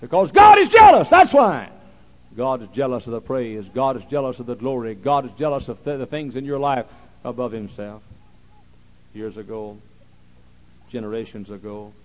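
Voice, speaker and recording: A man preaching a sermon, repeating phrases and swinging from quiet, slow talk to loud shouting. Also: medium pitch, poor audio.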